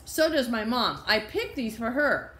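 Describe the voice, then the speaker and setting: A woman's voice: a little high, sing-song, unhurried, fairly bright tone, pitched above the performer's own voice. A woman voicing a cheerful, literal-minded young girl with an earnest, innocent way of talking. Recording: echoey room.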